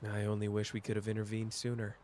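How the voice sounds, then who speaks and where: A man's voice: on the low side, fast talker, a little hiss or hum, lightly acted. A man playing a teasing young detective. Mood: gentle teasing. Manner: playful, teasing, jumps between soft and excited. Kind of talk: ASMR roleplay.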